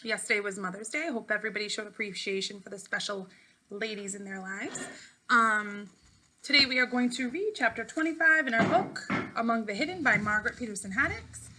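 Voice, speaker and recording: A woman teacher reading a story aloud to children, clearly and expressively, speaking directly to her pupils. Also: mid-range voice, brisk, noisy background. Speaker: teacher reading a book aloud to fifth graders.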